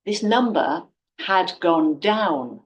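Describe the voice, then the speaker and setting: A woman teaching an online class, speaking clearly and evenly and putting quiz questions to her students. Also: lowish voice, animated. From Britain. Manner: clear, measured, poses quiz questions to the viewer. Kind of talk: online class introduction video.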